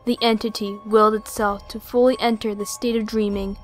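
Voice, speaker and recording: A woman's voice: mid-range voice, level tone, a little fast, faint music behind. A woman reading scripted, descriptive fantasy narration for an audio play.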